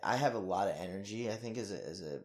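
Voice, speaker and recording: A young man, an actor, talking in a casual interview in an energetic, rambling way with lots of fillers and swearing. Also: fairly low pitch, fast.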